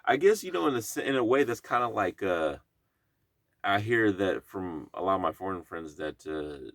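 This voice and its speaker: A man who works as an actor and writer, talking as a guest in a relaxed, easygoing way during a casual conversation. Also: sits low, moderate pace, no room sound, studio quality. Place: indoor room with a field recorder. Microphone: field recorder.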